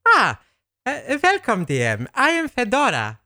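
A halting, hesitant language-school manager, voiced by a man in a comedy audio drama. The voice leaps all over in pitch and is recorded dry and close. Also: huge pitch swings, pushed and bright, no echo, exaggerated voice.

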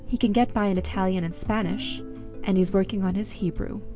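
A woman narrates a scripted news piece in a steady, even voice. Music plays clearly beneath her. The sound is slightly dull and lo-fi. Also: mid-range voice, a little fast. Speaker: host, narrator.

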